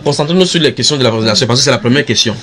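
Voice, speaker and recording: A man's voice: mid-range voice, rapid-fire, over a noisy crowd. A man leading an informal Bible study, coaxing and teasing the people around him. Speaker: Bible teacher. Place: room with group of people.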